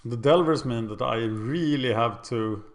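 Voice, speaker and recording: A man's voice: medium pitch, unhurried. Swedish man thinking aloud over card games. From Sweden. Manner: self-deprecating, thinking aloud. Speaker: game commentator. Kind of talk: casual gameplay commentary.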